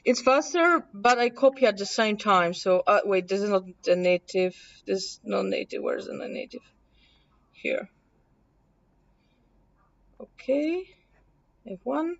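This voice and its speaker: A woman from Poland with a mild Polish accent, casually teaching a workshop and thinking aloud as she demonstrates software. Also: mid-range voice, lively intonation, measured pace, creaky, pauses often. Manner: chatty, self-correcting, thinking aloud. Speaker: workshop presenter. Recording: distant, dull room recording.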